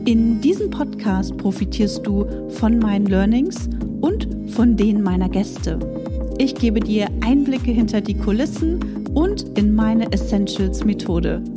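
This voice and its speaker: A woman hosting her own business podcast, upbeat and coaching, still a little hoarse from a cold. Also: mid-range voice, lively intonation, measured pace, loud music behind the voice. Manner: upbeat coaching tone, with fillers in free talk. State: recovering from a cold, voice slightly rough. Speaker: podcast host. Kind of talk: scripted intro then casual monologue.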